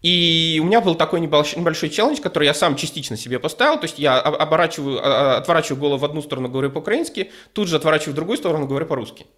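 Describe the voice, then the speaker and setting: A man from Ukraine, a guest telling his story in a relaxed chat, hesitant, with lots of fillers and careful wording. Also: a little high, rapid-fire, hardly any pauses. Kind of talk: casual conversational storytelling.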